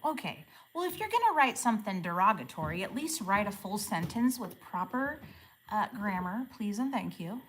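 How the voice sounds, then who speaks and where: A woman in her forties chatting casually with viewers on a livestream, asking friendly questions and murmuring softly when she reads comments. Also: mid-range voice, very animated, normal speed, slight background noise, poor audio. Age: 40s. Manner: drops to a soft murmur when reading comments aloud. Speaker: live streamer.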